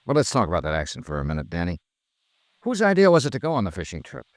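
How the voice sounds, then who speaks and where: A cool, persistent American investigator in a vintage radio crime drama. His low voice swings widely in pitch as he probes in clipped, wry phrases. The restored 1950s recording is close and dry. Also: wildly swooping pitch, very fast, dry recording, full character voice. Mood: measured, questioning. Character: shrewd, persistent male insurance investigator, hard-boiled and cool. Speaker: radio drama lead and narrator.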